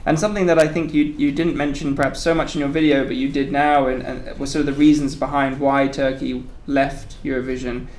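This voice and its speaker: A roomy recording with faint background noise carries a man chairing a panel. His voice sits at an ordinary pitch, and he puts questions in a polite, informal, tentative way. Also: flat delivery, fairly quick, few pauses, a little mellow. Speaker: panel moderator. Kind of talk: informal moderated discussion. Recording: echoey room with faint noise.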